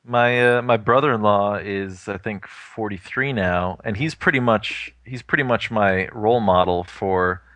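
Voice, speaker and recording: A man's voice: on the low side, a little slow, hardly any pauses, fairly bright tone, a little hiss or hum. A man in his thirties in casual podcast chat, talking things through thoughtfully with lots of "you know" fillers. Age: 30s.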